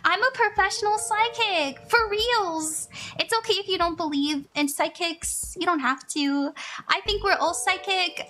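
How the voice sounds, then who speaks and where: A woman's voice: very high voice, sharp tone. A woman psychic chatting casually on a live stream, bubbly and giggly, thanking viewers often. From Hawaii.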